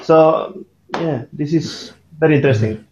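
A man co-hosting a casual interview, speaking haltingly and repeating words as he goes. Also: a little high, a little mellow, a little hiss or hum. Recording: roomy, dull lo-fi audio.

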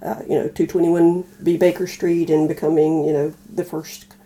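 A woman co-hosting a casual discussion of old radio dramas, explaining things in a thoughtful, halting way. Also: low voice, little pitch movement, mellow, rough recording. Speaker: podcast co-host.

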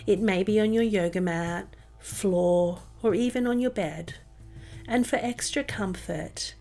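A woman narrating a guided meditation in a soothing, gentle and unhurried manner. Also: mid-range voice. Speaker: meditation podcast host and guide. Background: music behind the voice.

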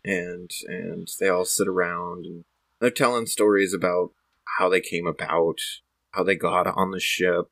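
A man improvising narration for a tabletop roleplay game, halting as he searches for words. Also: deep voice, fairly even intonation, vocal fry, fairly bright tone, dry recording.

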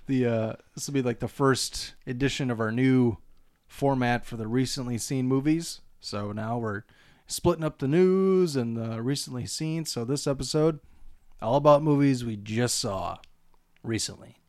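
A man's voice: mid-range voice, moderate pace, darkish tone, no room sound. Casual male podcast host, dry close sound. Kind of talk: casual chat.

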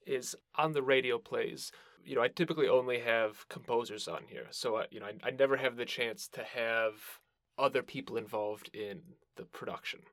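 A man's voice: mid-range voice, normal speed, fairly bright tone, dry recording. Casual male podcast host, dry sound.

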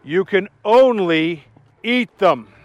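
A stern, exasperated middle-aged fast-food manager who scolds in a measured, slow way, as a man plays him in a storybook. His voice sits fairly high and the recording is dry. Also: expressive, no echo. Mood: calm.

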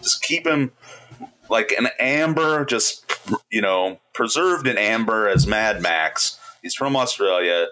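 A man's voice: lowish voice, lively intonation, takes it slowly, bright, forceful tone, poor audio. A man from Indiana co-hosting a casual movie podcast, rambling and joking, often breaking into laughter. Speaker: podcast co-host.

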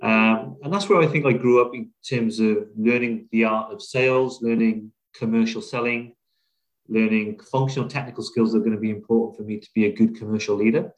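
Middle-aged British male business leader as interview guest, lower register, reflective and halting conversational storytelling. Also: fairly even intonation, low-quality recording. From Wales, UK. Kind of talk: conversational interview, telling own career story.

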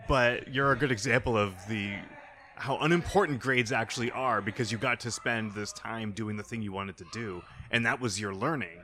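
Male podcast interviewer, lowish register, conversational delivery. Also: moderate pace, pushed and bright. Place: hotel lobby.

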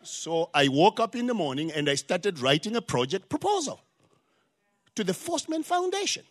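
A man's voice: sits high, big pitch swings, normal speed. Zimbabwean-accented older man preaching. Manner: emphatic preaching with rising exclamations. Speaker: pastor. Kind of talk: sermon.

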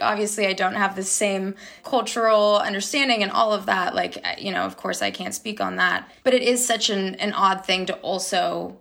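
A young woman, an actor being interviewed, talking casually and reflectively with many fillers and soft laughs. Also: mid-range voice, gravelly. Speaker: actor guest.

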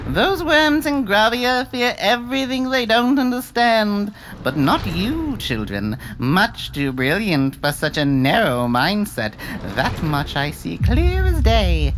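A woman's voice: a little high, a little hiss or hum, poor audio. A woman playing a gushing, eccentric sorceress. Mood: sly. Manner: gushing, flattering, turns sly and scornful. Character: eccentric fawning sorceress, plump, cursed woman. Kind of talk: audiobook character acting.

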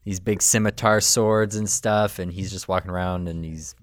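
A man with a very low voice co-hosting a casual podcast. He recaps episodes in a relaxed, halting way, often pausing on fillers. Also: no room sound, studio quality. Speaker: podcast co-host. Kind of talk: casual cartoon review chat.

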